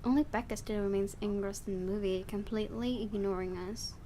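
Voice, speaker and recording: A woman reading a visual novel game aloud in an expressive storytelling style, with her own asides. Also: medium pitch, fairly quick, a little hiss or hum.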